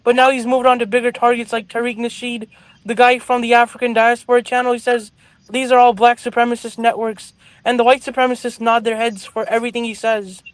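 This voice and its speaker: A man with a very high voice who keeps an even, flat tone as he argues earnestly on a talk show. His voice sounds dry and close, with no room around it. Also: fairly quick, clear, resonant tone, dry recording. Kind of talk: casual talk show commentary.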